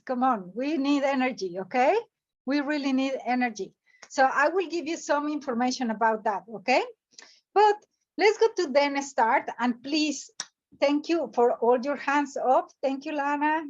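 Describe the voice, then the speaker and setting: A woman from Mexico with a Mexican Spanish accent, a native Spanish speaker, giving an animated motivational workshop over a video call and firing questions at her audience. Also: high voice, dull audio. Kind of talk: energetic online workshop talk.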